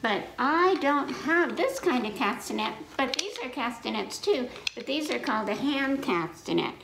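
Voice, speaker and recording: Creaky older woman at children's story time. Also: sits high, expressive. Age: 60s or older. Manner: slow, simple, teacherly explanations with repetition. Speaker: library story time reader. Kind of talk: children's story time, reading aloud and explaining to little listeners. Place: indoor living room in front of a fireplace. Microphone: camera a few feet away. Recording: echoey room, noticeable noise, clicks.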